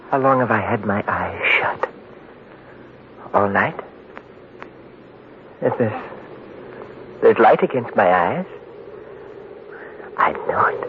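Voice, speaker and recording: A guilt-ridden man losing his nerve in an old radio play. He speaks slowly, in broken, repeated fragments, as if muttering to himself. The recording is dull and has some hiss. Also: on the low side. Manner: halting, repetitive, broken phrases, muttering to himself. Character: haunted, guilt-ridden man, a wife-murderer trapped in a moonlit canyon. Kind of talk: 1940s radio drama acting, halting monologue.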